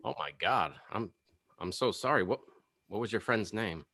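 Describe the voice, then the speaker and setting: An awkward, bumbling office worker, played by a man with a lowish, very animated voice. He is hesitant and apologetic, rambling tactlessly through a comedy table read in a close, dry recording. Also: normal speed, dry recording, full character voice. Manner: hesitant, rambling, nervous chuckles.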